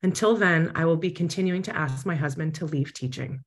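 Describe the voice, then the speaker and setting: A woman's voice: deep voice, a little fast. A woman firmly reading a prepared public comment by video call, with pointed emphasis. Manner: firm, pointed delivery.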